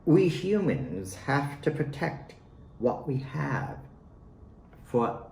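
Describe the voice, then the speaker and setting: A man reading his own poetry in a dramatic, deliberate way, pausing between phrases. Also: mid-range voice, big pitch swings, creaky, a little mellow. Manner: theatrical, deliberate phrasing with pauses. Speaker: poet reading own work. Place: at home, roomy indoor space. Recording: roomy echo, faint background noise.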